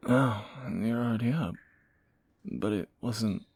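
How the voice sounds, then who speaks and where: A man playing a groggy fantasy assassin in an intimate romantic audio roleplay, husky and halting, with wry understatement. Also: on the low side, darkish tone, no echo, exaggerated voice. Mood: teasing, awkward. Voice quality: gravelly, husky morning voice. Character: groggy male fantasy assassin, softening captor, flustered and teasing.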